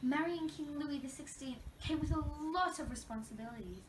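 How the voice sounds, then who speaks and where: A woman's voice: sits high, a little fast, lightly acted. A woman playing a wronged young French queen in a scripted interview role play, recounting her troubles in a formal, recited way. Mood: earnest, reflective. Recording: echoey room, distant mic, faint noise.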